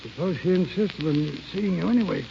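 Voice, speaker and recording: Very creaky, stern older gentleman. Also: high-pitched, fairly quick. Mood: puzzled, stern. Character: stern older gentleman, unforgiving guardian of a young woman. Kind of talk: 1930s-40s radio drama acting. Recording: dull, hissy old radio recording.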